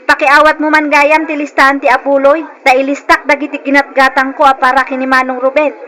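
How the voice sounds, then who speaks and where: An actress playing a grasping, insistent sister-in-law who makes a show of sympathy, in an old-time Ilocano radio drama. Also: female voice, sits high, flat delivery, brisk, sharp tone. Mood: sympathetic.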